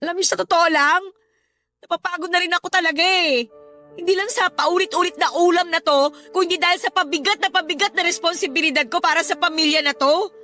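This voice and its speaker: A young adult daughter with a high voice in a Tagalog radio drama. She is dutiful and respectful, yet weary and resentful under her load. Soft music runs underneath. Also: brisk. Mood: weary, pleading. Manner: respectful but reproachful.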